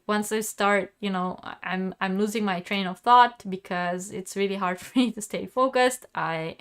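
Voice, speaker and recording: A woman's voice: medium pitch, a bit bright, clean tone. A woman with a sore, fading voice hosting a live crochet lesson, chatty and answering viewers' questions. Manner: chatty, restarts often, answers viewers directly. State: sick, sore throat, losing her voice.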